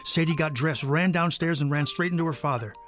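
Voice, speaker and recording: A man's voice: fairly high pitch, fairly quick, a bit bright, faint music behind. A man narrating a scripted children's story.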